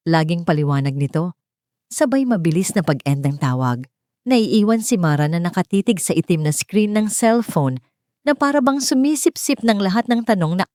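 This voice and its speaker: Woman, dramatic storyteller, low-leaning voice, soft mellow tone, scripted narration, dry close sound. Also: dry recording.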